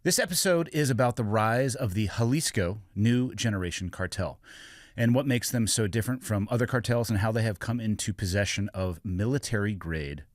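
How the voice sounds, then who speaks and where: A man hosting a podcast, reading a steady, deliberate introduction and then asking questions in a relaxed way. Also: lowish voice, no room sound, clean studio sound. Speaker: podcast host.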